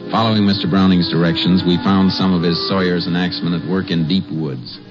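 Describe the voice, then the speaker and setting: Male actor as steady Texas Ranger, low, terse, commanding; vintage western radio sound. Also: fairly quick, close to an everyday voice. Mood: grave, intent. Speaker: lawman and first-person narrator. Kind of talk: 1950s western radio drama acting. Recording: muffled old radio recording, loud music.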